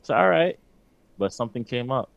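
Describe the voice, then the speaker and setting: A man's voice: mid-range voice, sing-song, fairly quick, creaky, no echo, dull audio. A man co-hosting a podcast, chatting casually and joking in a laid-back way, often filling with "like". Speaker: podcast co-host. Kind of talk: casual banter.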